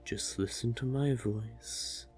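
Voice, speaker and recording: A man's voice: medium pitch, slow, darkish tone, faint music behind, lightly acted. A man playing a seductive, manipulative male sea witch in a hypnotic audio roleplay, doting and teasing in a soothing, coaxing way.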